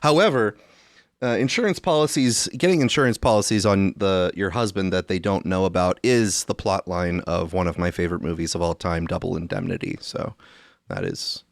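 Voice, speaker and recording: A man's voice: deep voice, moderate pace, creaky, no echo, clean studio sound. A man hosting a podcast with casual commentary, wry and roundabout in the way he talks. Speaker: podcast host.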